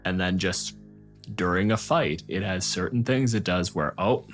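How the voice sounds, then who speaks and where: A man's voice: deep voice, normal speed, faint music behind. A man co-hosting a casual panel talk, explaining and arguing his points in a dry manner. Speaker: podcast co-host discussing tabletop game monsters.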